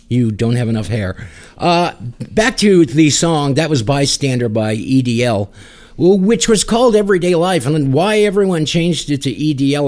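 A man's voice: mid-range voice, darkish tone. A man hosting a music show with casual, off-the-cuff chat, teasing and joking as he introduces songs. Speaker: podcast host introducing songs.

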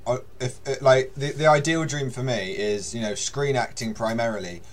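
A young man with a deep voice, a guest on a podcast, chatting in a friendly way with frequent fillers. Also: normal speed. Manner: friendly, laughs often, hesitant fillers. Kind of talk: casual chat.

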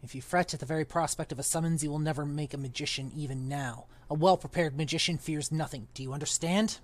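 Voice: medium pitch, fast talker, natural voice. A reader voicing a pompous, grumpy older male magician who blusters disdainfully. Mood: lecturing.

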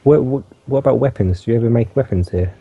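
A man with a croaky voice, playing a character in a tabletop game and asking his questions hesitantly. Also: mid-range voice, quick pace, warm tone, dull audio. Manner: hesitant, repeats words. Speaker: player.

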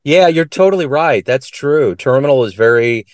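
A man's voice: medium pitch, animated, normal speed, dry recording. A man hosting an interview, asking curious, friendly questions and lifting his voice on each question. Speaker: interviewer and show host. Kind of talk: conversational interview.

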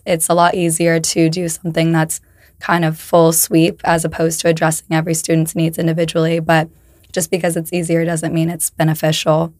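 Hoarse young woman podcast co-host. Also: low-pitched, no echo, studio quality. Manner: talks with many "like" fillers. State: hoarse, recovering from laryngitis. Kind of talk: casual educational podcast chat.

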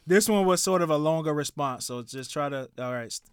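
A man's voice: a little high, brisk, clear, resonant tone, no echo, studio quality. A man hosting a casual podcast chat, reading out listener responses and laughing often. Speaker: podcast host.

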